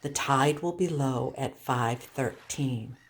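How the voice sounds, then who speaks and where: A woman reading a children's picture book aloud as a storytime teacher, with deliberate, expressive phrasing for young listeners. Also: rumbling low.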